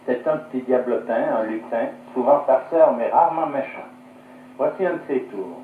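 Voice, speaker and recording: A man's voice: medium pitch, normal speed, far from the mic, narrow, tinny audio, slight background noise. Echoey old-radio man telling folk tales. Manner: fluent, expressive, literary. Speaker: storyteller. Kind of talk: scripted 1950s radio folk-tale narration. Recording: echoey, band-limited 1950s radio archive.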